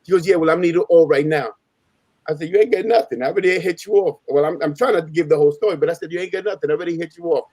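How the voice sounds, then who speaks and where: Male panel storyteller, native Spanish speaker, slangy and emphatic, rapid delivery, slightly high register. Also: darkish tone, no echo. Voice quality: slangy, informal street talk. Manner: emphatic, repeats phrases, acts out quoted dialogue. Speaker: panelist on a live open panel. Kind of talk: casual animated storytelling.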